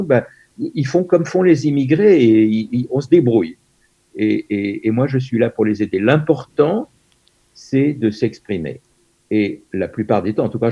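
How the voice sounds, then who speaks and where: A man's voice: lowish voice, creaky, mellow, breathy edge, lo-fi sound. An older man in his seventies from France, a Yiddish teacher being interviewed over a video call, hesitant with many fillers and restarts.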